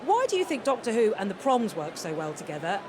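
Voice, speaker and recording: A woman's voice: medium pitch, big pitch swings, fast talker. A woman presenting a live radio interview from a large concert hall, chatty and enthusiastic. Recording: background noise of a busy venue.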